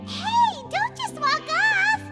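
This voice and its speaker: A woman voicing a tiny, childlike, perky and bossy floating fairy companion in a video game, with a squeaky voice. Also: expressive, slow, full character voice. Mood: indignant. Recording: reverberant hall, loud music bed.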